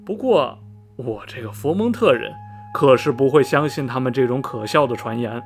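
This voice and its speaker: A man's voice: mid-range voice, animated, normal speed, soft background music, close to an everyday voice. An actor playing a gossipy middle-aged small-town man in a radio drama, skeptical yet repelled, sighing and drawing out his phrases dramatically. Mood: gossipy, dismissive. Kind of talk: radio drama acting, animated storytelling.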